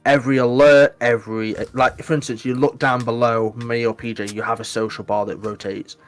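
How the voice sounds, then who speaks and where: A man, a podcast guest chatting casually, animated and emphatic, giving advice in short punchy bursts. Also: medium pitch, fairly quick, soft background music. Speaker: podcast guest, Twitch mod.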